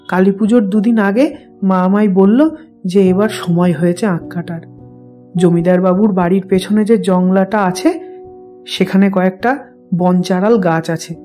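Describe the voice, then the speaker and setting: A woman's voice: mid-range voice, warm tone, close to an everyday voice. A woman playing an innocent young village boy who tells a horror tale simply, like a child. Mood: earnest, secretive. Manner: simple, childlike first-person storytelling. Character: innocent young village boy confiding eerie dreams of his dead mother. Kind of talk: dramatized horror audio story. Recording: music bed behind the voice.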